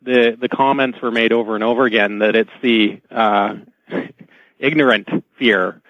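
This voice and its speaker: A man's voice: fairly low pitch, fairly even intonation, measured pace, dry recording, highs cut off. A man explaining things informally as an expert guest on a radio show.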